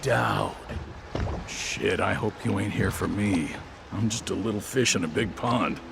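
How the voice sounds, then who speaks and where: Nervy street smuggler, rough gravelly voice, lowish, boat noise behind. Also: male voice, unhurried. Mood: wary. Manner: wary, rough street talk. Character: nervous small-time male smuggler, street-wise.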